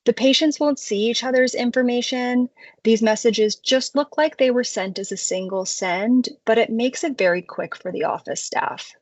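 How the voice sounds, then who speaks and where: A woman narrating a scripted product tutorial as a software presenter, explaining things clearly in a conversational way. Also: a little high, normal speed, dry recording, dull audio.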